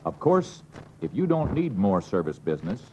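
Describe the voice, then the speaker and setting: An American man narrating an instructional film in a measured, instructive way, on a dull old film soundtrack with faint hiss. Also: fairly low pitch, big pitch swings.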